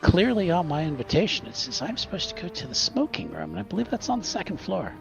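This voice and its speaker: A man's voice: sits high, huge pitch swings, fast talker, vocal fry, muffled recording, over music. A man playing a tabletop game, chatting casually and improvising in a stop-start way, repeating words.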